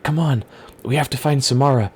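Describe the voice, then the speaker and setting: A man's voice: medium pitch, a little fast, a little hiss or hum, voice pushed up, natural voice. A man voicing an earnest young male apprentice hero who speaks urgently. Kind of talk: audiobook reading.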